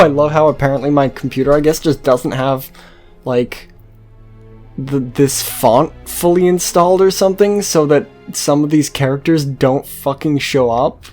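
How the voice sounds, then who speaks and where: A let's-play host with a medium-pitched voice talks slowly and casually over faint game music. The delivery rambles and hesitates, with plenty of swearing mixed in. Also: a little mellow. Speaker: host of a gameplay series.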